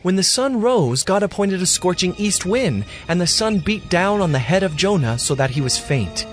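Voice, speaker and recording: A man narrating a scripture audio drama in an expressive storytelling manner. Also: high-pitched, moderate pace, faint music behind.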